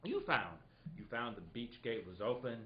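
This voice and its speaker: A man playing a smug, playful detective in a comedic live radio mystery, hamming it up and halting for asides. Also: mid-range voice, sing-song, dull audio, lightly acted. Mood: expository. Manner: hammy, halting, breaks into ad-libbed asides.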